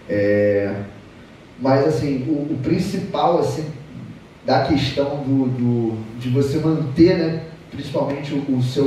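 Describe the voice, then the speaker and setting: A Brazilian man in his thirties giving an informal technical talk, explaining haltingly with repeated words. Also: on the high side, mellow. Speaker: conference speaker. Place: auditorium stage, seated at a table. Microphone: distant camera in the audience. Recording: echoey hall, distant mic, background noise.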